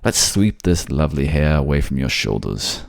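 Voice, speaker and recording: A man's voice: very deep voice, big pitch swings, moderate pace, creaky, no echo, clean studio sound, exaggerated voice. A man playing a suave, manipulative fantasy ruler who coaxes his captive in an intimate ASMR audio drama. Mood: possessive coaxing. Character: suave manipulative male water-folk ruler, soothing captor.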